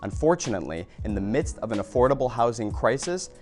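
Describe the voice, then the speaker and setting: A man's voice: medium pitch, animated, fast talker, faint music behind. A man in his thirties, a nonprofit founder, giving a polished scripted speech to camera with rhythmic lists. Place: office room.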